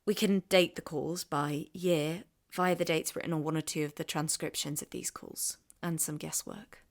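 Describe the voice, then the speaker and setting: An actress playing a curious young woman podcaster from the English Midlands, narrating in a measured, wry way and asking questions. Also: low-pitched, fairly even intonation, vocal fry. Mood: calm. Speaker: investigative podcast host. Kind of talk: scripted podcast narration and street interviews.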